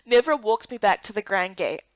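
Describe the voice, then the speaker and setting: A woman reading a video game story as narrator and lead, in expressive scripted voice-over. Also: medium pitch, moderate pace, dry recording, muffled recording.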